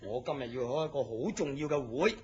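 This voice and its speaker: A man's voice: medium pitch, lively intonation, a little fast, a little mellow, a little hiss or hum, close to an everyday voice. An actor playing an overbearing, womanising middle-aged boss in a Hong Kong Cantonese radio drama, theatrical and bossy. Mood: breezy, bossy. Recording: muffled lo-fi radio drama audio.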